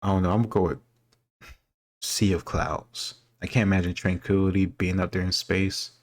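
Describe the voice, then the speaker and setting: A man's voice: sits low, fairly even intonation, normal speed. A man giving casual, off-the-cuff commentary as a video host, thinking aloud and offering his opinions.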